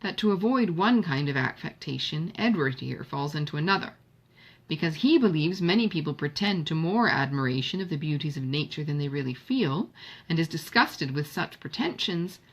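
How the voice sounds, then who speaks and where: A woman voicing a composed, perceptive and gently teasing young lady in an audiobook of a Regency novel, with precise formal diction. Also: deep voice, expressive, moderate pace. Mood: wry, analytical. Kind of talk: audiobook character dialogue.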